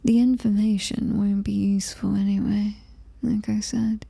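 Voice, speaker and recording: A British woman playing a coldly superior, menacing alien-human hybrid in a whispered ASMR horror roleplay, breathy, deliberate and taunting. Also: mid-range voice, little pitch movement, mellow. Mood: haughty, menacing.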